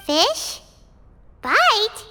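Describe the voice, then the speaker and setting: A woman voicing a tiny, excitable, childlike fairy companion, naive and easily indignant, in a video game. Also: extremely slow, soft background music, full character voice. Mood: puzzled. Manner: squeaky, exclamatory, refers to self in third person. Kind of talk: scripted video game dialogue.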